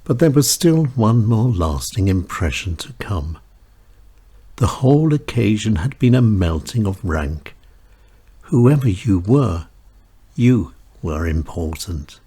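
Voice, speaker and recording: A man narrates scripted prose in a fairly low, husky voice. He takes his time, setting out short, deliberate phrases with dramatic pauses. His intonation is lively, rising and falling widely from phrase to phrase. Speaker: author reading own short stories and essays. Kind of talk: scripted narration, spoken essay and story.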